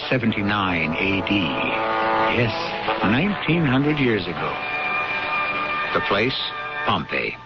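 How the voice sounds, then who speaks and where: Low-voiced, portentous radio drama host. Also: male voice, big pitch swings. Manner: portentous, deliberate, rhetorical. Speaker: host and narrator. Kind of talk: dramatic scripted radio narration. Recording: muffled radio broadcast with music.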